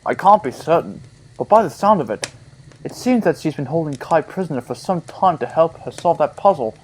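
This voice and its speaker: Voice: mid-range voice, lively intonation, brisk, a little mellow, a little hiss or hum, close to an everyday voice, rough recording. A performer playing a kindly, loyal, courteous talking reindeer in an audio play. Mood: helpful. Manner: courteous, pleading.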